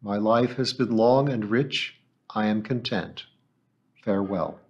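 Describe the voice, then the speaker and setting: A man's voice: fairly low pitch, slow, husky, muffled recording, full character voice. A male audiobook narrator voicing a polite, earnest teenage boy, who also recites a solemn memorized message. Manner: respectful, earnest.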